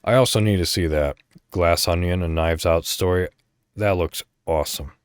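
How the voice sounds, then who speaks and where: A man hosting a podcast solo, chatting casually and thinking out loud in a rambling way. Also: sits low, moderate pace, clean tone, no room sound, clean studio sound. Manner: rambling, thinking aloud, mild swearing. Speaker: podcast host.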